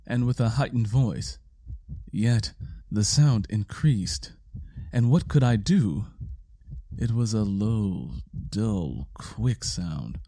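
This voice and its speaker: Paranoid murderer confessing in a gothic tale, super theatrical and intense, dry close sound. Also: male voice, medium pitch, dark tone, dry recording. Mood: uneasy, mounting dread. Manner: theatrical, vehement, emphatic repetition. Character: guilt-ridden madman murderer confessing, frantic and paranoid. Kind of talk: dramatic first-person gothic story reading.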